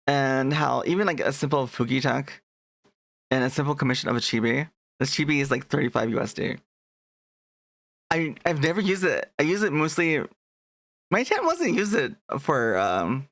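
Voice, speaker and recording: A man's voice: mid-range voice, creaky, fairly bright tone, no echo. A young man chatting casually on a live stream, rambling and restarting his sentences as he greets his chat.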